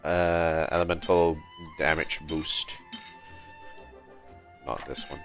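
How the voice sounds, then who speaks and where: Very deep-voiced man muttering over a game. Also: steady tone, fairly bright tone, faint music behind. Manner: muttering to himself, halting, thinking aloud. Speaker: game livestreamer. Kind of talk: casual livestream gameplay commentary.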